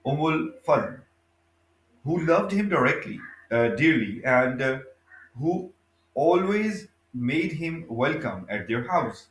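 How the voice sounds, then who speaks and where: A man reading a biography aloud as scripted narration, in a halting way, often stumbling and correcting himself. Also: mid-range voice. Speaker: audiobook reader. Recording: echoey, reverberant room.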